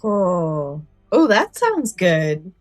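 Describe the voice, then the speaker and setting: A woman's voice: on the low side, sing-song, very slow, no echo, studio quality, faint music behind. A woman co-hosting a podcast, moving between casual chat and prepared summaries. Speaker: podcast co-host.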